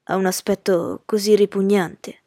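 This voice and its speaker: Hesitant household manservant, voiced by a female narrator: respectful, uneasy, storybook delivery, mid pitch, dry sound. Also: steady tone, a little slow, dark tone, no room sound. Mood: uneasy, deferential. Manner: deferential, hesitant. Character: deferential male servant, hesitant, uneasy messenger.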